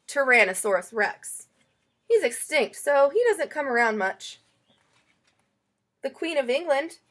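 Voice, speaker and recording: High-pitched, animated woman reading a storybook. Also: slightly rough. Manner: expressive, acts out quoted lines. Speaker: picture book reader. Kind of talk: animated children's storybook read-aloud.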